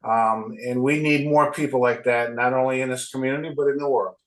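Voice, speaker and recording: A man co-hosting a community interview, speaking hesitantly with many pauses and restarts. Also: medium pitch, steady tone, poor audio. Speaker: co-host.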